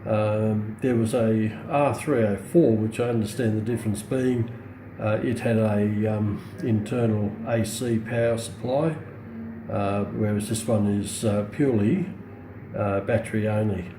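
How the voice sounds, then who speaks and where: A middle-aged Australian man with a mellow, relaxed voice on the low side. He talks casually and hesitantly, pausing often as he demonstrates a product. The sound is roomy and echoey, recorded indoors on a camera mic. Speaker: hobbyist radio reviewer. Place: indoors on a hard countertop, small room. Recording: roomy echo, faint background noise.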